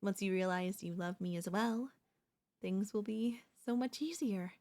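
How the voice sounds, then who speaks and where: A woman playing an obsessive female pop idol turned kidnapper in an ASMR roleplay, speaking in a soft, coaxing, doting way with menace underneath. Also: mid-range voice, a little slow, natural voice. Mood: calm, menacing.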